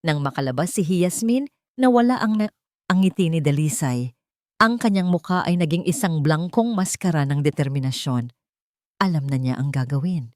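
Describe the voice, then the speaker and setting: A woman's voice: low-pitched, animated, moderate pace, a little mellow, dry recording, clean studio sound. A woman narrating a scripted romance audiobook in a dramatic storytelling style.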